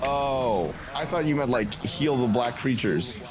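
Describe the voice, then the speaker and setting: A man with a mid-pitched, very animated voice banters as a co-commentator. His delivery is joking and mock-skeptical. Game music is clearly audible behind him. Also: unhurried, low-quality recording. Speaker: speedrun co-commentator. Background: video game music.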